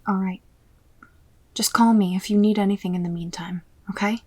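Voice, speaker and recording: An actress playing a determined, loyal fortune-teller in an audio drama, speaking in a hushed, matter-of-fact, naturalistic way. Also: female voice, medium pitch, fairly even intonation, normal speed, close to an everyday voice. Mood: practical. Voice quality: husky, breathy. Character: determined, loyal female fortune-teller joining a dangerous mission. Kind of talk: audio roleplay acting, naturalistic.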